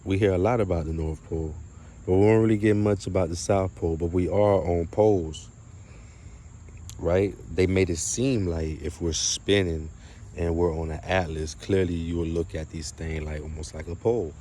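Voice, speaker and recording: A man delivering an unscripted spiritual monologue to viewers, halting and emphatic, often repeating his phrases. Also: deep voice, steady tone, warm tone. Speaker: spiritual video creator.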